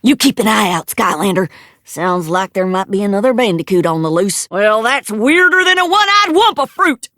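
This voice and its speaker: An actress playing a folksy, plainspoken adventure guide in a cartoon game, with a drawling American Southern accent. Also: female voice, medium pitch, big pitch swings, normal speed, a bit bright, dry recording, clean studio sound. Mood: folksy, wary. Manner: folksy, drawling, colourful country sayings. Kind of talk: cartoon video game voice acting.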